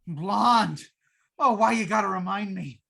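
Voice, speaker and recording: A woman's voice: mid-range voice, a little slow, gravelly, a bit bright, heavily acted. A woman playing a flustered, henpecked working husband who stammers nervously, in old-time radio comedy style. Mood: harried, pleading. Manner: stammering, hesitant.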